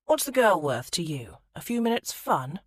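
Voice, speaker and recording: A woman's voice: lowish voice, very animated, normal speed, slightly rough, no room sound, studio quality. A woman voicing a stern, commanding young aristocrat in an audiobook, speaking in firm, clipped commands.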